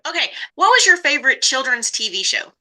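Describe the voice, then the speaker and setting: A woman's voice: high voice, sing-song, fairly quick, bright, forceful tone. A woman hosting a podcast interview, asking questions in a conversational way. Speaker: podcast host.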